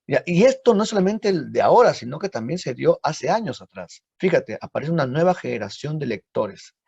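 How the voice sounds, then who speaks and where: A man teaching a literature class, lively and full of rhetorical questions. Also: a little high, fairly quick, gravelly, slightly rough, no echo. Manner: lively, asks rhetorical questions, frequent tag "¿no?". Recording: dull lo-fi dry audio.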